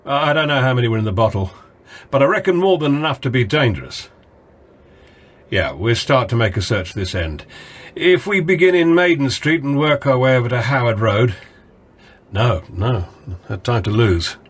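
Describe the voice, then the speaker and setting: A seasoned copper in middle age, husky and expressive. He is measured, wry and firm, with the easy authority of a veteran in a radio play. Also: medium pitch. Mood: urgent, resolute. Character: seasoned, steady, kindly but firm veteran policeman. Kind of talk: radio drama acting with first-person narration.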